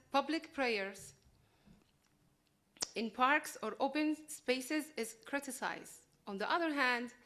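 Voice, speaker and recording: A woman reading prepared remarks to a gathering in an earnest, deliberate way. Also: on the high side, a bit bright. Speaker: invited speaker.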